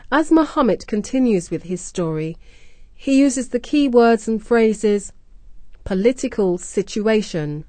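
A woman radio presenter hosting a scripted language-learning programme, explaining words and phrases clearly and deliberately. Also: mid-range voice, a little mellow, no echo. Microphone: close studio mic.

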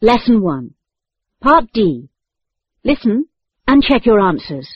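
An announcer reading scripted instructions for a language course, slow and measured. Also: mid-range voice, sing-song, slightly rough, no room sound. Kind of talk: scripted textbook audio instructions. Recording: dull lo-fi dry tape recording.

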